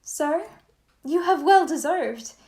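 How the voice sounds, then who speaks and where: A gentle young princess of Shakespearean radio drama, played by a woman. Her voice is high, and she speaks in earnest, formal phrases with a tender heart. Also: animated, slow pace, exaggerated voice. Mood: earnest, kind. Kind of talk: Shakespearean radio play acting.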